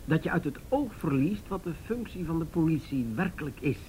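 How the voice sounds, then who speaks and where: A man's voice: a little high, animated, brisk, a little mellow, lightly acted, low-quality recording. An actor playing an older, jovial and patronising male police chief in a radio drama, hesitant and avuncular. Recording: radio drama with faint background noise.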